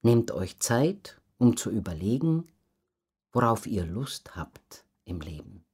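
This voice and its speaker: A woman with a very low-pitched voice answers questions in an interview. She speaks slowly and earnestly, with lively rises and falls in pitch, and puts her thoughts clearly. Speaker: interview guest, professional circus acrobat.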